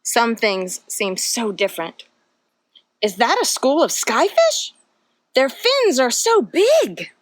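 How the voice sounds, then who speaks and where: A woman's voice: a little high, very animated, slow pace, no room sound, exaggerated voice. A woman reading aloud as a curious, dreamy sea dragon from a picture book, wondering and asking questions. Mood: curious, amazed.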